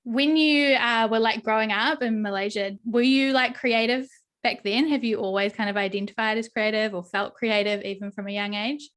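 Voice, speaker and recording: A woman's voice: on the high side, fairly even intonation, moderate pace, a bit bright. A young adult woman with an Australian accent hosting a podcast interview, upbeat and chatty with frequent "um" and "like". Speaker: podcast host and brand coach.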